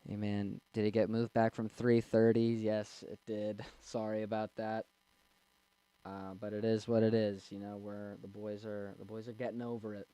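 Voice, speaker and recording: A man in his twenties with a young, slightly squeaky voice, casually rambling and answering chat on a livestream. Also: flat delivery, normal speed, mellow, clear, resonant tone, low-quality recording. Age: 20s. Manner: rambling, with fillers and restarts. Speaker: streamer reading chat. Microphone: desk mic.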